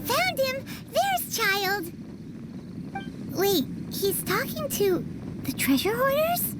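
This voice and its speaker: A woman voicing a small, cheerful, squeaky childlike fairy companion in a video game. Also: sing-song, slow pace, exaggerated voice, low-quality recording. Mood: excited, surprised. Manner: refers to self in third person. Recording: loud game music behind.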